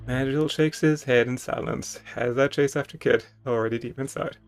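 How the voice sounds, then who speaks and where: A man's voice: mid-range voice, fairly even intonation, moderate pace, clear, resonant tone. A man streaming a dramatic, theatrical reading of game text, with casual asides to viewers. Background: music.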